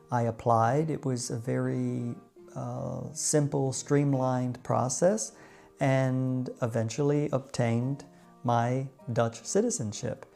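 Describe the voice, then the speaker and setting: A middle-aged man with an American accent tells his personal story to viewers in a measured, reflective way with deliberate pauses. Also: medium pitch, gravelly, warm tone. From United States. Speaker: vlogger.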